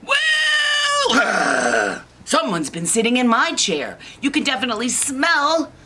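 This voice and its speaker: A woman's voice: sits high, huge pitch swings, slow, bright, forceful tone, a little hiss or hum, pitched above the performer's own voice, full character voice, rough recording. Woman acting a huffy, offended mama bear.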